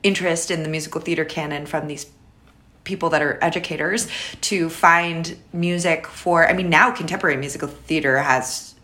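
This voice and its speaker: Woman, 30s, raspy low alto, loose chatty storyteller on a podcast. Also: steady tone, a little fast, bright, forceful tone. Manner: rambling, many fillers and restarts. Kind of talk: casual podcast conversation.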